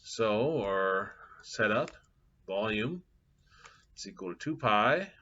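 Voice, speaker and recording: Middle-aged man, math instructor, relaxed rambling lecture, muffled lo-fi mic. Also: lowish voice, slow pace. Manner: casual, chatty, thinking aloud with fillers. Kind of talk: live class lecture with anecdotes. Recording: dull lo-fi classroom capture.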